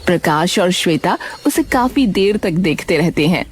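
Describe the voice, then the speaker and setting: A woman's voice: lowish voice, normal speed, slightly rough, faint music behind. A woman narrating a cartoon story in a scripted storytelling style. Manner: storytelling, expressive.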